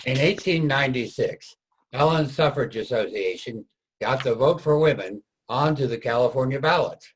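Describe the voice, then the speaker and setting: A man with a slightly husky voice narrating a scripted readers' theater piece. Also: mid-range voice, lively intonation, normal speed, a little hiss or hum, rough recording.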